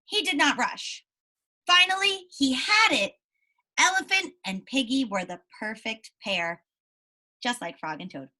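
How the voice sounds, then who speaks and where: A woman's voice: high-pitched, animated, measured pace, bright, forceful tone. A woman teacher reading aloud and explaining things to young pupils, encouraging them and often checking in with questions. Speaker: primary school teacher.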